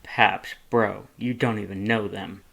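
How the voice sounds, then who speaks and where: A man voices a tired skeleton big brother who keeps his voice low and mumbled. He dodges the questions and brushes them off. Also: fairly even intonation, measured pace, gravelly, a bit bright, exaggerated voice. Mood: defensive. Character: weary, evasive skeleton older brother.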